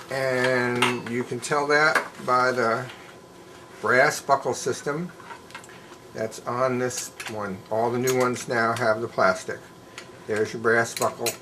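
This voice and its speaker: A middle-aged man talks slowly through his handiwork at a bench, a little hoarse. His voice sits at an ordinary middle pitch and comes through a camera mic. A light background noise hangs behind him. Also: fairly bright tone, poor audio. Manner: plain, folksy, unhurried explaining with fillers. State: slightly hoarse, losing his voice. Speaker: leatherworker showing his work. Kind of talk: casual show-and-tell demonstration. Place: indoors at a workbench.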